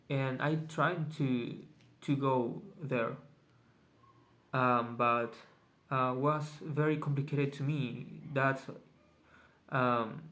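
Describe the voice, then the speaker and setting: A man whose first language is Spanish talks through a casual video journal in English at a crawl. He hesitates constantly, stopping to hunt for the right words. His voice sits at an ordinary middle pitch. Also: fairly bright tone. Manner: hesitant, searching for English words, many pauses; very slow, halting speech. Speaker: vlogger.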